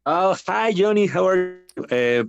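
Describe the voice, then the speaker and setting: A man from Mexico with a Mexican Spanish accent, a native Spanish speaker, calling in politely and haltingly. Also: high-pitched, animated, creaky. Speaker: caller. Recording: dull lo-fi call line.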